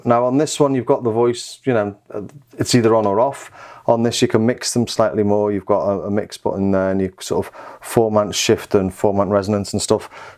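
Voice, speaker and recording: Clear-voiced man chatting through a gear demo. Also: fairly low pitch, a little mellow. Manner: hesitant, thinking aloud. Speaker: synthesizer reviewer. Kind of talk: casual gear demonstration chat.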